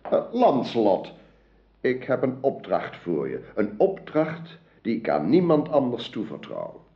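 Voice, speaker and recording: Stately monarch, declamatory, swooping intonation, radio drama, roomy muffled recording. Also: male voice, medium pitch, wildly swooping pitch, measured pace. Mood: confiding. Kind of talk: radio play acting.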